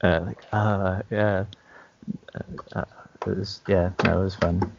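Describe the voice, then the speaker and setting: A man's voice: low-pitched, flat delivery, measured pace, gravelly, highs cut off, background noise. A man co-hosting a podcast as a game master, chatting casually in a hesitant, understated way. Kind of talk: casual chat.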